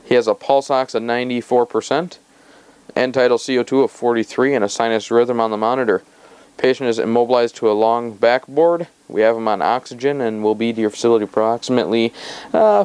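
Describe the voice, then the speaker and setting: A man's voice: mid-range voice, brisk, darkish tone, clear, resonant tone, dry recording. A man speaking as a paramedic, giving a matter-of-fact, methodical clinical patient report.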